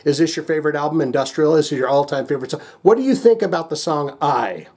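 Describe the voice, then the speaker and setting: A man's voice: a little high, animated, fast talker, darkish tone. A man hosting a music reaction video, chatting casually to viewers in a rambling, jokey way and often interrupting himself.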